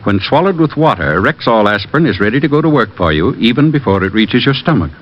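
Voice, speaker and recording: A man's voice: medium pitch, very animated, fast talker. A man reading a scripted old radio commercial as an earnest druggist spokesman. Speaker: druggist spokesman in sponsor advert. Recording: dull, noisy old radio recording.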